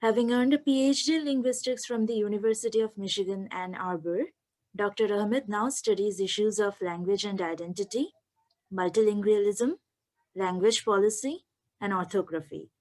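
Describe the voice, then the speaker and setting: A woman's voice: medium pitch, normal speed, darkish tone. Woman formally introducing a speaker. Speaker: webinar host.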